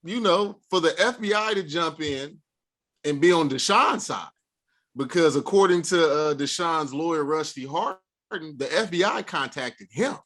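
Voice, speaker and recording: A man's voice: fairly high pitch, lively intonation, normal speed, a bit bright. A man co-hosting a podcast in a playful, slangy casual chat. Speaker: podcast co-host.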